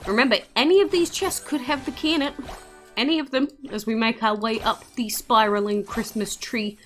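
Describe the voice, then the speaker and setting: A woman's voice: fairly high pitch, normal speed, a bit bright, faint music behind. Chatty woman doing casual game commentary. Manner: chatty, playful. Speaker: gaming video creator.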